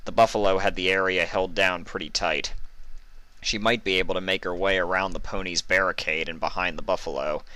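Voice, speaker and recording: Low-voiced man narrating, bright and dry. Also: fairly even intonation, a little fast, dry recording, poor audio. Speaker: fan fiction reader. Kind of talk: dramatic scripted narration.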